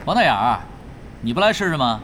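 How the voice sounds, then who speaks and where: A man's voice: medium pitch, sing-song, fast talker, heavy background noise, lightly acted. An actor playing a cocky, laid-back veteran male pro gamer in a Chinese audio drama, casual and taunting. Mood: playful taunting. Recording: background music under the voice.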